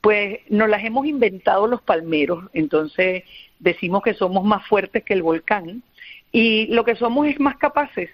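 A woman from Venezuela with a Venezuelan accent, answering earnestly as a radio interview guest and explaining things with repeated phrases for emphasis. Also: medium pitch. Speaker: interview guest, volunteer psychologist. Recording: dull, band-limited radio call.

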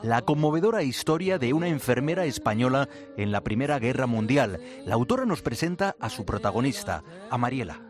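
A man from reading a scripted radio news piece with a measured, polished delivery. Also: medium pitch, sing-song. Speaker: radio reporter.

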